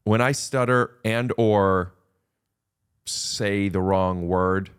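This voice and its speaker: A man's voice: lowish voice, drawn-out words, clean tone. A man in casual podcast conversation as a guest, rambling with restarts and repeated words.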